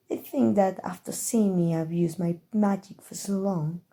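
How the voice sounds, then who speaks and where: Woman doing an evil queen for asmr roleplay, mellow relaxed voice, regal and condescending, always scheming. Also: on the high side. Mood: smug, scheming. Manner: regal, theatrical, condescending, drawling with amused disdain. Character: haughty scheming evil queen, imperious, condescendingly fond of her helper. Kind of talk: ASMR roleplay audio drama.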